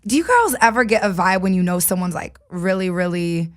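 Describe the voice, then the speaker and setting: A woman's voice: on the low side, expressive, a little fast. A woman hosting a talk show, speaking in a casual, conversational style.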